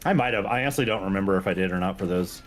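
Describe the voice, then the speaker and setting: A man's voice: low-pitched, very fast. A man in casual gameplay chat, a modder explaining things in a laid-back way. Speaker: mod creator guesting on a stream. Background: game music.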